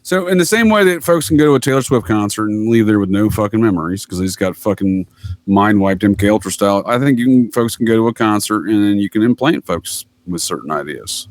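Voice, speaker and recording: A man's voice: on the low side, no echo. A middle-aged man in casual, opinionated podcast conversation, speaking emphatically and rambling, with free swearing. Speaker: podcast guest, retired military master sergeant.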